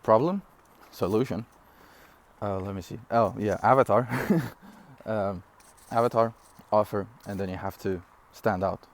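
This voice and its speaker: A man in his twenties from Syria, for whom English is not his first language, giving an energetic, rambling business pitch straight to camera with frequent fillers and laughs. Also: measured pace. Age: 20s. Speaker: online business coach. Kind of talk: casual direct-to-camera talk.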